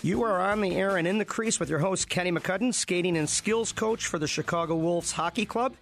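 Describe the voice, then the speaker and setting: A man's voice: high voice, fairly quick, a bit bright, faint music behind. A man hosting a radio sports interview, introducing and questioning a guest.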